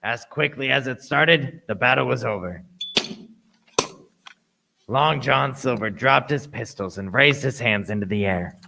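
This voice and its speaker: A man's voice: medium pitch, measured pace, vocal fry, long pauses, sharp tone, a little hiss or hum, poor audio. A man playing an earnest adventurer who narrates his tale in a radio play with dramatic storytelling. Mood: earnest, recounting. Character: earnest grown Jim Hawkins recalling his boyhood adventure.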